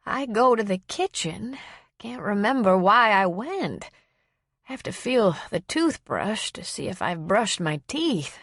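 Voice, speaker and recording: A woman voicing a gentle, forgetful, frail old woman who speaks in a slow, halting, plaintive way for an audiobook story. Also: medium pitch, very animated, dry recording, clean studio sound, full character voice. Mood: wry, forgetful.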